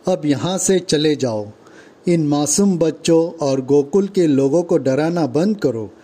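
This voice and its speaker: A man's voice: a little high, lively intonation, unhurried, mellow, husky. A man playing a young divine hero who gives firm, commanding orders as a protector in a puppet show story. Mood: stern command.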